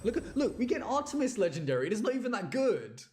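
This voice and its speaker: A man's voice: high voice, big pitch swings, fast talker, darkish tone, a little hiss or hum. A man giving casual live commentary as he streams a video game, rambling and often repeating himself.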